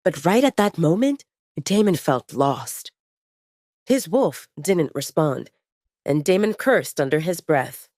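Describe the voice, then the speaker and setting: A woman's voice: deep voice, expressive, slow, a little mellow, dry recording, studio quality. A woman reading scripted dramatic fiction as the narrator of an audio story.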